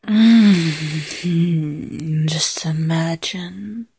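A woman in an intimate, ASMR-style erotic roleplay, mostly whispering in a breathy voice with a slow, teasing murmur and lingering pauses. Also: sits low, a little mellow, no room sound.

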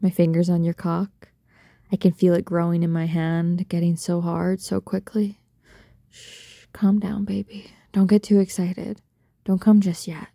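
A woman speaking in an intimate adult roleplay style straight to the listener, soft, breathy and coaxing, full of doting pet names. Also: lowish voice, level tone, unhurried, no room sound, clean studio sound. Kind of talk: intimate erotic roleplay audio, addressing the listener.

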